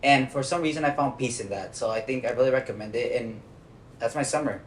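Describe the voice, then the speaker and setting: A young American man sharing his summer on a student podcast, in a relaxed, reflective way. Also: mid-range voice, fast. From United States. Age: young adult. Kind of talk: casual podcast chat. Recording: echoey room, faint noise.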